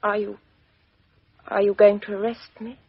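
An actress playing a proud, bitter young English woman in a 1950s radio drama, sharp and emotional. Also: mid-range voice, little pitch movement, moderate pace, husky, dry recording. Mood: composed. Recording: dull lo-fi 1950s radio recording.

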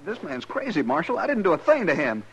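A man's voice: a little high, wildly swooping pitch, fast talker, dull audio, slight background noise. An actor playing a nervous, apologetic young townsman in a 1950s radio western, flustered and pleading, on an old recording. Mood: frightened.

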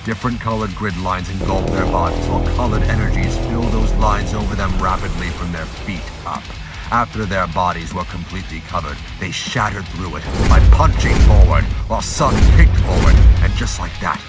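A man's voice: sits low, normal speed, over loud music. A man reading scripted dramatic narration as the narrator of an audio drama.

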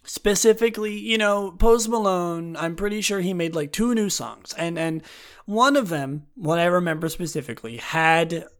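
A man hosting his own show, his throat hoarse and raspy from a long stretch of talking. His voice sits a little higher than most men's. He talks loosely, circling back and restarting sentences, with wry jokes at his own expense. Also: moderate pace. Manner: rambling, with frequent restarts and self-deprecating asides. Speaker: podcast host. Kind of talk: casual solo commentary, partly reading a write-up aloud.

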